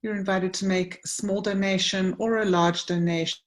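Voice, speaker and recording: A woman from South Africa hosting a women's retreat circle on a video call, gentle and earnest, often restarting her sentences. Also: mid-range voice, steady tone, normal speed. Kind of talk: informal online circle chat.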